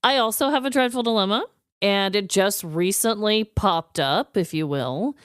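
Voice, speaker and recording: Female podcast co-host, smooth clear voice, playful and animated, casual comedic chat, dry close sound. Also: mid-range voice, normal speed, dry recording.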